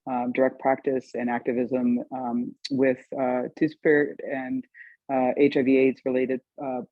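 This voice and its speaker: A man's voice: medium pitch, completely flat delivery, no echo. A man introduces the guests of a panel, stumbling through frequent fillers and restarts. His voice comes over a thin, phone-like video call line with a dry, close sound. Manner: halting, many fillers. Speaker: panel moderator. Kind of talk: hesitant spoken introduction.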